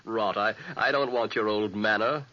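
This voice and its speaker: An actor playing a jovial young soldier home from war, laughing and breezy, in an old radio play. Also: male voice, lowish voice, normal speed, sharp tone, muffled recording. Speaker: guest cousin. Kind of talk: 1940s radio acting.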